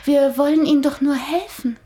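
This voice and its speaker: An actress playing a caring, earnest young woman in a radio play, polite and gently hesitant. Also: high voice, normal speed, close to an everyday voice. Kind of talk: German radio play acting.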